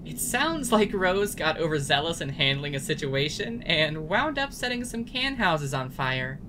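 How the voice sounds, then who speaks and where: A woman's voice: low voice, sing-song, unhurried, background noise. A woman voicing a caring, blunt and protective teenage sister in an earnest fan dub. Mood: light, reassuring. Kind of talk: emotional fan-dub voice acting.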